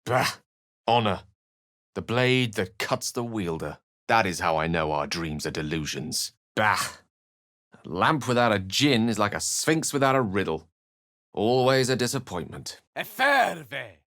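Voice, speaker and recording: A man's voice: lowish voice, sing-song, measured pace, fairly bright tone, heavily acted. A man voicing a learned, wry and romantic wizard in a video game, speaking in an articulate, theatrical way. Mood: earnest, grandiose, then forceful incantation. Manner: theatrical, articulate, literary phrasing. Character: learned human male wizard, eloquent, wry, romantic and dramatic. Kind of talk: video game character voice acting.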